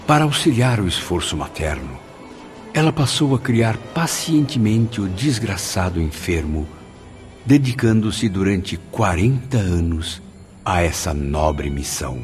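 Earnest sorrowful spirit, deep voice, formal dramatic narration, Brazilian accent, music underneath. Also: male voice, lively intonation, a little slow. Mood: solemn. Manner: solemn, formal. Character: Camilo, earnest, sorrowful male spirit telling his story. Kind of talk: dramatic scripted narration in radio soap.

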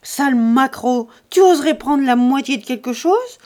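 A woman voicing a furious peasant wife who shouts coarse insults in a shrill, rushed voice. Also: animated. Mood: screaming insults. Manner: shouting abuse, fast and shrill.

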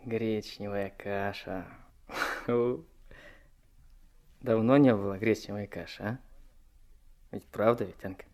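An actor playing an affectionate, easygoing young newlywed truck driver in a radio play. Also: male voice, on the low side, steady tone, unhurried, a little mellow. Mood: quiet, content. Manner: plain-spoken, easygoing, fond.

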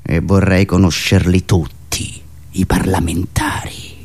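A man with a Roman Italian accent performing an ironic, theatrical satirical monologue, swinging between muttering and loud declaiming. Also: sits low, level tone, slow pace, vocal fry, breathy edge. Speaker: satirical monologue performer and radio show host.

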